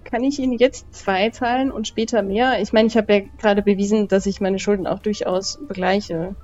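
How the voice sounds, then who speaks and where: A woman's voice: mid-range voice, steady tone, normal speed, a little mellow, soft background music. A woman role-playing casually as a player, polite and conversational, on a slightly dull microphone. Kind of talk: casual improvised in-character dialogue.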